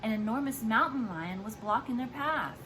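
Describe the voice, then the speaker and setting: A woman's voice: fairly high pitch, moderate pace. A woman, a mother, reading a children's storybook aloud expressively to her child. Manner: expressive, acts out dialogue and explains to child.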